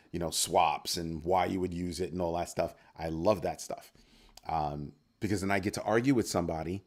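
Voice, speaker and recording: Deep-voiced man chatting on a livestream. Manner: animated, playful, reacting to chat comments. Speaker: livestream host. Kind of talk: casual livestream chat with viewers.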